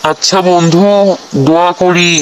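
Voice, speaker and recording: A man's voice: high voice, flat delivery, normal speed, slight background noise, lightly acted, rough recording. A man voicing a sensible, plain-spoken friend who gives matter-of-fact advice in amateur cartoon dialogue. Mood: supportive.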